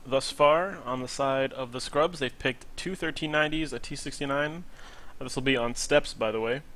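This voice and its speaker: A man's voice: medium pitch, normal speed, a bit bright, a little hiss or hum. Nasal man casting a live match. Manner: casual, thinks aloud, predicts picks. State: nasal from a cold. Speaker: esports caster. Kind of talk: live play-by-play commentary.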